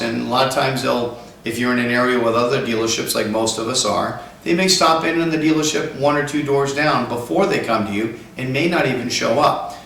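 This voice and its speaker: A man's voice: mid-range voice, vocal fry, fairly bright tone. A man working as a car sales trainer gives an instructional talk, explaining emphatically step by step. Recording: echoey room, distant mic, faint noise.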